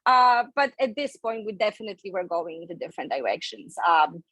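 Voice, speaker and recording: A woman in her thirties with a Polish accent, talking as a guest on a podcast. She tells her story in a chatty, open way, at a medium pitch. The sound is dry and close, with no room echo. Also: lively intonation, brisk, fairly bright tone, dull audio. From Poland. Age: 30s. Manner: chatty, candid, laughs between phrases. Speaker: guest, online fitness coach.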